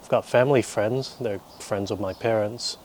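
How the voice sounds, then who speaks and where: Low-voiced young New man, Korean-born. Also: darkish tone, a little hiss or hum. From South Korea, raised in New Zealand from preteens. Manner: dry, wry humour with deliberate pauses. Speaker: vlogger and teacher. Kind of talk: rambling casual monologue to camera.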